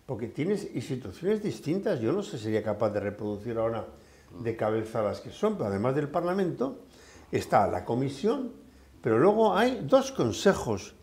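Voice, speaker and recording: A man's voice: mid-range voice, very animated, moderate pace, darkish tone. An older man, a former professor, talking things over thoughtfully with many hesitations. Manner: thoughtful, with frequent fillers and hesitations. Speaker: retired professor. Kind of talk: conversational discussion.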